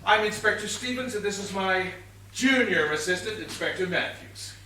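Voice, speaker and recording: Pompous, excitable comedy inspector, male stage actor, bright emphatic delivery, recorded far off in an echoey theatre. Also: high voice, normal speed. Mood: officious. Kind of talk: stage comedy murder mystery acting. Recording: roomy distant stage recording, noisy.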